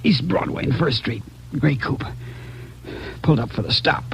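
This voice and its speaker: An actor in a 1940s radio drama playing a dazed, wounded policeman, halting and pleading through pain. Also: medium pitch, animated, gravelly, a bit bright. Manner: halting, repetitive, gasping in pain. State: in pain, struggling for breath. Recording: muffled old radio recording, faint hiss.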